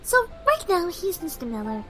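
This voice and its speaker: A woman voicing a shy, gentle cartoon pony mare who speaks for animals, soft, polite and hesitant. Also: very high voice, wildly swooping pitch, moderate pace, soft background music, full character voice, low-quality recording. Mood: timid, kind. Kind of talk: cartoon fan audio drama acting.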